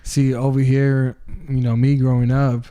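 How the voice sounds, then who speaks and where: Mellow-voiced man in slangy banter. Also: medium pitch, little pitch movement, unhurried, smooth. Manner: slangy, joking, swears freely. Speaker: podcast co-host. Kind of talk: casual chat.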